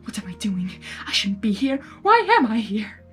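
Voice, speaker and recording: A woman's voice: medium pitch, sing-song, normal speed, a bit bright, faint music behind. Woman voicing a fretful male chaos creature bridegroom. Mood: panicking. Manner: fretful, dramatic, flustered.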